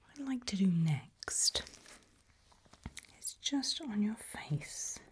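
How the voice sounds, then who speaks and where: A woman whispering in a breathy voice, playing a polite, calmly creepy medical examiner in an ASMR roleplay. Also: mid-range voice, slow. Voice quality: breathy, mostly unvoiced whisper.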